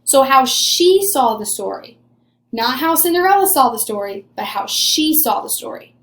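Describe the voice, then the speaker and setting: Female primary teacher, high voice, conversational read-aloud discussion, repeats key points, questions her pupils. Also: expressive, moderate pace, fairly bright tone, echoey room.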